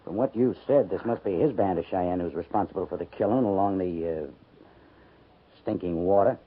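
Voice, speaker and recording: An actor playing a brusque, dismissive army major in a 1950s radio drama, speaking in a brisk, curt way. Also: male voice, low-pitched, husky. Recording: tinny lo-fi radio broadcast with faint hiss.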